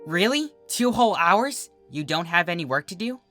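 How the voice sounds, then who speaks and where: A narrator voicing a brash, eager young female pegasus pony with a soft heart, in a cartoon fan fiction reading. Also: mid-range voice, animated, moderate pace, faint music behind, pitched above the performer's own voice. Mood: thrilled, eager. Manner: boastful, eager, sometimes sulky.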